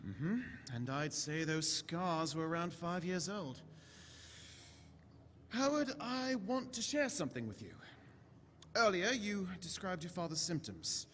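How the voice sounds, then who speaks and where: Man playing an animated, eccentric time-traveling doctor, echoey hall. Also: high voice, measured pace, exaggerated voice. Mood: inquisitive. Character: eccentric, brisk, inquisitive male alien time-traveler doctor. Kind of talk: audio-drama style stage acting. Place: large convention hall stage. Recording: echoey hall stage recording with noise.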